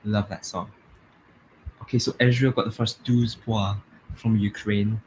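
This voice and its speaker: A young man with a Glasgow-area Scottish accent, chatting excitedly and laughing as he comments on a live stream. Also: lowish voice, measured pace, slight background noise, rough recording. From Scotland.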